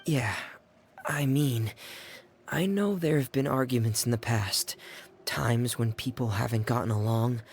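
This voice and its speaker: A man's voice: mid-range voice, unhurried, a little hiss or hum, close to an everyday voice. An actor playing a shy young man who speaks in a hesitant, apologetic way.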